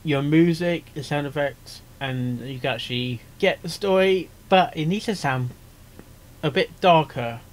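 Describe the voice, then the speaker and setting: Slightly high-voiced man, rambling reaction commentary. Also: measured pace. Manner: hesitant, rambling, repeats phrases. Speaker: reaction video host, amateur voice actor. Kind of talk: casual off-the-cuff commentary.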